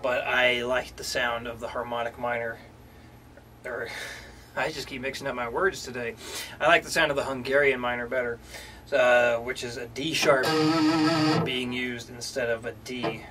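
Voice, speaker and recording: A man giving a casual guitar lesson, relaxed, with fillers and restarts. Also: mid-range voice, normal speed, far from the mic. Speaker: guitar teacher. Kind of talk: casual instructional talk. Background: guitar playing.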